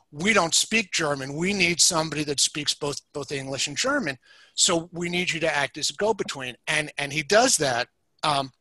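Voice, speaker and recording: Bright-voiced man telling history. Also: fairly high pitch, dry recording, rough recording. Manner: many fillers and restarts, explaining history. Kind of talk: conversational storytelling.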